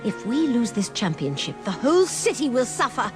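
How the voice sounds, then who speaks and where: Proud, expressive young heiress. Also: female voice, on the high side, moderate pace. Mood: hurt, angry. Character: proud, determined young heiress of a racing team. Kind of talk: video game voice acting. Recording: music behind the voice.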